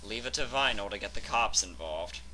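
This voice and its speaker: A man's voice: on the low side, animated, moderate pace, bright, forceful tone, slight background noise. A man reading a scripted fan fiction story as its narrator.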